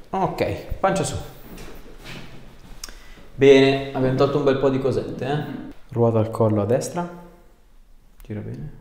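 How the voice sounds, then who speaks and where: A man's voice: medium pitch, darkish tone. A man, a chiropractor casually instructing and reassuring a patient. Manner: reassuring, informal. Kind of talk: casual hands-on instruction to a patient during treatment. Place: small treatment room, indoors. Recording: echoey small room, background noise.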